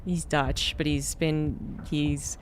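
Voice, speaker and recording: A man's voice: a little high, takes it slowly, clean tone. A man streaming a game, chatting casually and rambling with lots of fillers. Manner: rambling, relaxed, many fillers. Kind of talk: casual gameplay chat.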